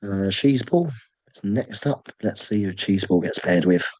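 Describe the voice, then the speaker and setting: A man with a sore throat hosting an online draw, talking in a halting, low-key way with many ums and pauses. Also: expressive, darkish tone, no room sound, poor audio. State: sore throat, voice nearly gone. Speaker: host running a fantasy draw. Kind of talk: casual screen-share commentary.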